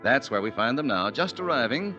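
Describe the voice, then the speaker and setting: A man announcing and narrating a 1940s radio drama in a formal, measured way. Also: medium pitch, big pitch swings, bright, forceful tone, lo-fi sound. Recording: old radio broadcast with music.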